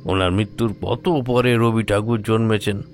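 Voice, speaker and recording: A man playing a cautious, grumbling old man with a gravelly voice in a horror audio drama. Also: lowish voice, fairly even intonation, moderate pace, faint music behind, close to an everyday voice. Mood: cautious, worried. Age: older.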